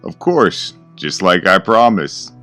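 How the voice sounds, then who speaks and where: A man's voice: sits low, big pitch swings, takes it slowly, sharp tone, soft background music, voice pushed up, exaggerated voice. A man voicing a smirking, overly cheerful host who hides sinister intent. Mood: falsely cheerful. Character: smooth sinister man, falsely friendly host.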